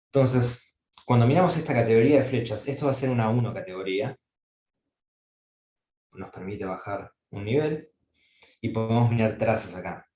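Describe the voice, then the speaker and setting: A man's voice: medium pitch, moderate pace, long pauses, warm tone, roomy sound. A man giving an academic mathematics lecture, thinking aloud with frequent fillers and restarts.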